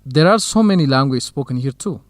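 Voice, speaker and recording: An actor playing a kind, modest young researcher in an educational radio drama, speaking in measured, simple phrases. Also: male voice, mid-range voice, animated, no echo, natural voice. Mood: informative.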